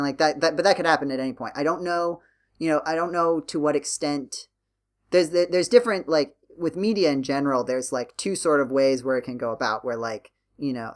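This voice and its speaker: A man hosting a casual podcast discussion, talking in a halting way and circling back as he thinks aloud. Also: fairly high pitch. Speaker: podcast host.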